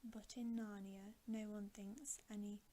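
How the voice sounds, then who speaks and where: A woman reading a story aloud in a soft, breathy ASMR whisper, in a measured way. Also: mid-range voice, flat delivery, a little hiss or hum. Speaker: ASMR storybook reader, channel host.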